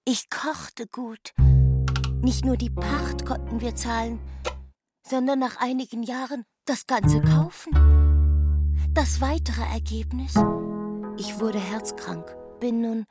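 A slow, proud landlady over music. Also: on the high side. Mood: proud, wistful. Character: proud landlady recounting her hard-working life, deliberate. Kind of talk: literary reading over music. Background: loud music.

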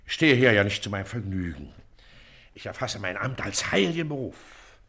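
Self-important bureaucrat for period comedy: condescending, sarcastic, sharp-edged, very animated delivery. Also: male voice, lowish voice, moderate pace, creaky, a little hiss or hum, full character voice. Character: pompous, self-important, impatient Prussian district official, a man. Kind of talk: theatrical period comedy acting in German.

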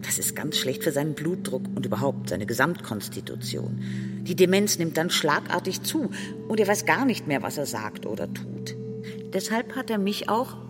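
A woman's voice: low-pitched, very animated, moderate pace. An actress playing a scheming young woman in a radio drama, glib and officious as she insists on her way. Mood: smug, officious. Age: young adult. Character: scheming young woman, officious self-appointed guardian of old man. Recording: long hall reverb, music behind.

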